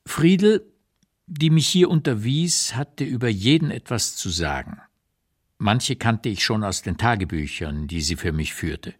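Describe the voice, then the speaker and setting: A man playing an old émigré writer who recalls wartime years in a radio reading, with deliberate, emphatic, literary phrasing and an unsparing eye. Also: lowish voice, animated. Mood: wry, observant. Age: older. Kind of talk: dramatised literary memoir reading, radio play.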